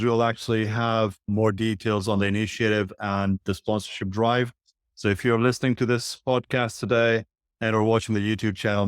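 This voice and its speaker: Low-voiced male podcast host. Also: smooth, no echo, clean studio sound. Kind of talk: podcast interview.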